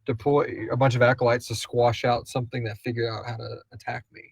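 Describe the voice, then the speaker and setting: A man's voice: medium pitch, little pitch movement, fairly quick, gravelly, slightly rough, dry recording. A man hosting a casual question-and-answer chat, rambling and thinking aloud as he speculates. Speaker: host of a live audio drama Q&A.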